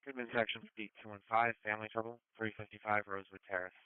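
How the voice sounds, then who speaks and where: A man dispatching emergency calls over a tinny two-way radio. His voice is clean and smooth under the narrow band, with no room sound. He speaks in short procedural bursts. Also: lowish voice, flat delivery, fast, no room sound. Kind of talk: police and fire radio dispatch, terse procedural.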